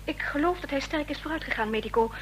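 A woman's voice: high-pitched, quick pace, a bit bright. An actress playing a devoted, anxious woman in a radio drama, speaking earnestly and with emotion. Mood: insistent, hopeful. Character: devoted, anxious woman in love, quick-witted. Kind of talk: Dutch radio drama acting. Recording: faint noise and hum, old radio recording.

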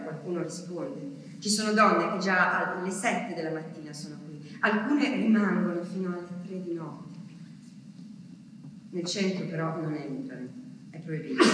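An actress playing an anguished woman who waits for her deported husband, delivering a halting theatrical diary monologue that moves between hushed words and cries. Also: lowish voice, natural voice. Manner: halting, repeating words, swinging from hushed to cried out. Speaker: stage actress performing a monologue. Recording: echoey hall from far off, noisy.

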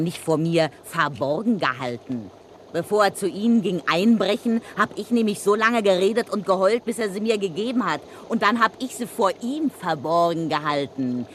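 A woman's voice: mid-range voice, fairly bright tone, slight background noise, natural voice. Sex: woman. Figure: an actress playing a brash streetwise blackmailing widow. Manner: cocky, slangy, mocking. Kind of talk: vintage radio play acting.

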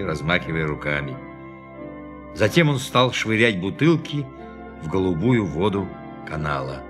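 A storyteller narrating a science fiction radio play. His voice is deep, with clear music playing behind the dramatic narration.

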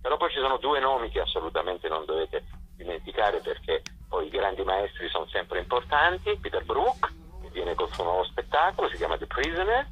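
Very deep-voiced man, muffled phone sound. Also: slightly rough. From Italy. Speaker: festival director presenting the programme. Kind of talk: unscripted radio interview, presenting a programme. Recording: dull, muffled radio phone line.

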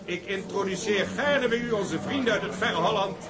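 An actor playing a formal, courteous gentleman host in a Dutch period drama, speaking in a stately, ceremonious way with small hesitations. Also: high voice, normal speed, close to an everyday voice. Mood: ceremonious, welcoming. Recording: chattering party crowd behind.

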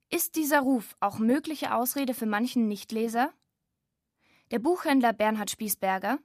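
A woman reading scripted documentary narration in a measured, announcing manner. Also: fairly high pitch, no echo, studio quality. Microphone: close studio microphone, dry.

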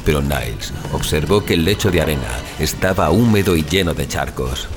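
Deep-voiced man narrating with animated pitch. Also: brisk. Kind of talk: scripted narration of a suspense horror story. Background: music.

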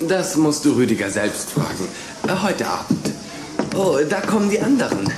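An actor playing a flustered, evasive schoolboy in a German children's radio play, hesitant and stammering. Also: male voice, a little high, big pitch swings, normal speed, echoey room, very noisy.

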